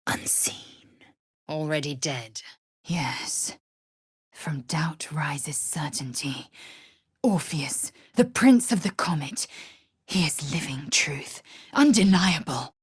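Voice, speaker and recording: Fantasy game role: proud, blunt warrior woman of a non-human race. Heavily rasped, breathy voice, imperious and unhurried, dry studio sound. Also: deep voice, dry recording, exaggerated voice. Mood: blunt, measured. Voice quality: husky, raspy, breathy edge. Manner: clipped, imperious, disdainful, deliberate. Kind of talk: video game character voice acting.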